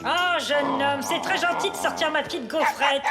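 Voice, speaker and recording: A woman's voice: high voice, normal speed, over loud music, lightly acted. A woman voicing a sweet, doting elderly lady neighbour in a cartoon. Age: older.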